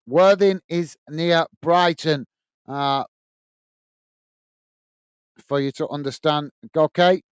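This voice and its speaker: A man's voice: a little high, smooth, no echo, studio quality. Man with a strong Lancashire accent. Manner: chatty, reads out chat messages with filler "uh". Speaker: watch-along host and commentator. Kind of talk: live football commentary and chat reading viewer comments.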